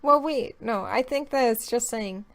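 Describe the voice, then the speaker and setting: A woman's voice: on the high side, unhurried. A young woman joining a casual chat as a guest, playful and opinionated, joking as she talks. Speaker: guest co-host.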